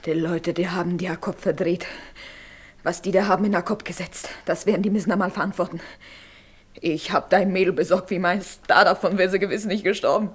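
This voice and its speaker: An actress playing a bitter, defiant working-class wife in a radio drama, blunt and rustic. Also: female voice, on the low side, fairly even intonation, brisk, husky. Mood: bitter, accusing. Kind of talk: naturalistic German radio drama acting.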